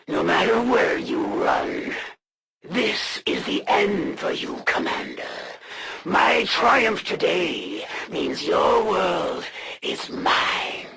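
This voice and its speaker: Casting: menacing alien assassin villainess for a game; slow, deliberate, haughty and formal, with a deep-fried gravelly rasp. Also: female voice, fairly bright tone, exaggerated voice. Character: proud, menacing female alien assassin, zealous servant of the Elders. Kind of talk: theatrical game villain voice acting.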